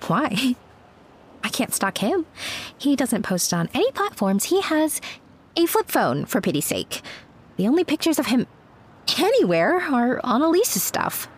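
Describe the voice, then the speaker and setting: Audio drama: impulsive, gushing young woman, high-voiced, unhurried, confiding and nosy. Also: very animated, breathy edge, heavily acted. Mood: defensive, insistent. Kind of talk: naturalistic audio drama acting.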